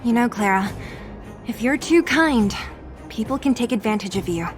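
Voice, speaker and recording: A frank, easygoing young woman, played by an actress with a rather high, animated voice. She talks casually in a game scene over faint music. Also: moderate pace, natural voice. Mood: frank, friendly. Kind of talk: scripted game voice acting.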